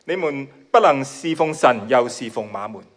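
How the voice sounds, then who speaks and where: Hong Kong-accented male preacher, native Cantonese speaker, modest and giggly, pauses often for his interpreter. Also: medium pitch, lively intonation. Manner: modest, with shy laughs between phrases. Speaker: guest preacher. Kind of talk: sermon delivered in short chunks for an interpreter.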